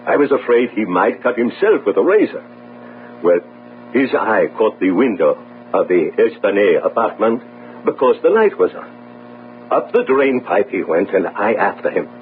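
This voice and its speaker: Anguished sailor, swooping voice. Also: male voice, on the low side, sing-song, moderate pace. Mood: haunted recounting. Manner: earnest, defensive, emphatic. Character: poor, guilt-ridden sailor, anxious and pleading. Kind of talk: radio drama acting. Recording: narrow phone-like radio audio, faint noise.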